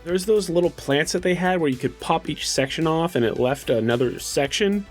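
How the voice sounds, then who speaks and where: A man's voice: fairly high pitch, normal speed, faint music behind. A man playing a tabletop game, thinking aloud in a loose, casual way with plenty of "like".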